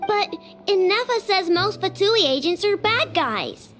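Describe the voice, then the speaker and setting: A woman's voice: very high-pitched, animated, a little slow, fairly bright tone, full character voice. A woman voicing an earnest, sheltered young girl inventor who runs her own workshop in a video game, with game music behind. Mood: worried. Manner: earnest, eager, a little naive. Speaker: workshop owner.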